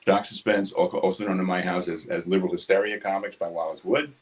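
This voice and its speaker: A man in his seventies from New York City with a New York accent, talking casually about his craft in his home studio, quick and succinct, hitting consonants hard. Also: deep voice. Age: 70s. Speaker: guest artist. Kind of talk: casual studio chat while drawing.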